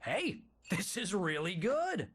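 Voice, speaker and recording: An actor playing a writer's excitable best friend in a video game, chatty, casual and rambling. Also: male voice, sits high, takes it slowly, exaggerated voice.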